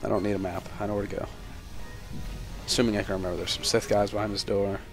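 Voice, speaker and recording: A man's voice: fairly low pitch, level tone, fast, over music. A young man giving casual gameplay commentary in a sweary, irreverent, rambling manner. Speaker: gamer, let's player.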